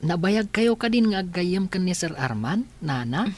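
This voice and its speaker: Woman as a low-voiced, gentle young boy. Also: sing-song, normal speed. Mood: teasing. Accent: Ilocano. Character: young boy, caring older brother, gentle. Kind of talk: Ilocano radio drama acting.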